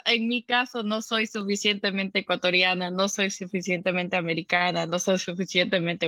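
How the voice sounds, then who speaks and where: A woman's voice: fairly low pitch, sharp tone, dry recording. A woman in tech, a podcast guest, talking in an upbeat way and laughing often. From born in the United States, raised in the Dominican Republic, Ecuadorian family. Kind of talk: conversational interview.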